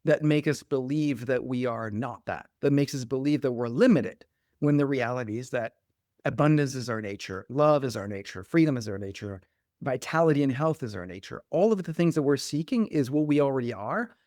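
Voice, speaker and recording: A man's voice: medium pitch, expressive, fairly quick, a little mellow, dry recording, studio quality. A middle-aged man from Denmark, a podcast guest and coach speaking reflectively and animatedly, with lots of "like" fillers. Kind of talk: conversational interview.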